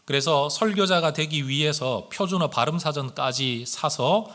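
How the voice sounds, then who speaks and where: Male pastor, fairly high bright voice, earnest sermon delivery, talks straight to the congregation. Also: normal speed. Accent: standard Korean, with regional intonation slipping in when speaking fast. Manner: earnest, addresses the congregation directly with rhetorical questions. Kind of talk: Sunday morning sermon.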